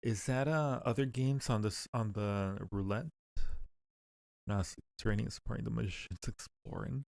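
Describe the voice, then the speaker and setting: A man's voice: on the low side, normal speed, gravelly, darkish tone, dry recording. A man hosting a live game stream, chatting casually with music playing behind him. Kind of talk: casual live chat while gaming.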